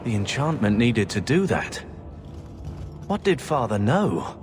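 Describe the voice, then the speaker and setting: An actor playing a grave, concerned adventurer companion in a fantasy video game. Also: male voice, mid-range voice, big pitch swings, unhurried, music in the background. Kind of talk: dramatic fantasy game acting.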